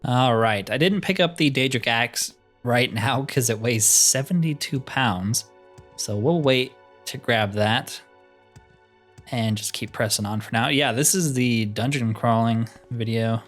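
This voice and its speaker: A man's voice: mid-range voice, measured pace, fairly bright tone. Man casually narrating his gameplay over music. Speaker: gaming video host. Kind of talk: casual playthrough commentary.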